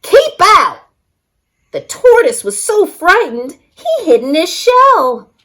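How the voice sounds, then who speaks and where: A woman's voice: extremely high pitch, big pitch swings, slow pace, fairly bright tone. A woman reading a picture book aloud expressively for young children, as a story-time reader.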